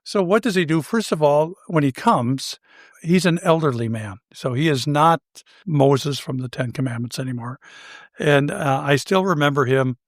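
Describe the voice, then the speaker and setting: Storytelling man with a high voice. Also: moderate pace, no room sound, clean studio sound. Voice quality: somewhat high-pitched voice. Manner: anecdotal, self-deprecating, laughs while telling stories. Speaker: podcast co-host. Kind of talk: conversational storytelling.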